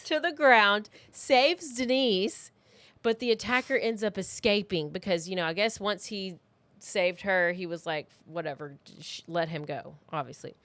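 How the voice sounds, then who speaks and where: A woman's voice: medium pitch, measured pace, fairly bright tone, dry recording. A woman tells a true crime story as a podcast co-host, with an informal, chatty delivery and a mid-range voice. The sound is dry and close, as if on a podcast microphone.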